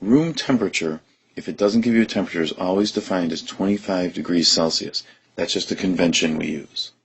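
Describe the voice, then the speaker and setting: Deep husky man teaching chemistry. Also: fairly quick. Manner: steady, methodical, talks through steps. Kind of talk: recorded lesson explanation.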